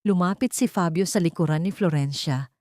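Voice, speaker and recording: A woman narrating a scripted romance audio drama in a measured, solemn, dramatic way. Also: on the low side, darkish tone, no echo, studio quality. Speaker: story narrator.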